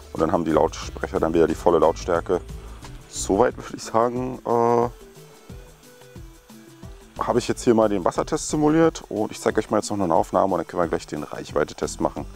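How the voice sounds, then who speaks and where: Gravelly low-voiced man reviewing gadgets. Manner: relaxed, chatty, addresses viewers directly. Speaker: tech reviewer host. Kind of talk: casual product review.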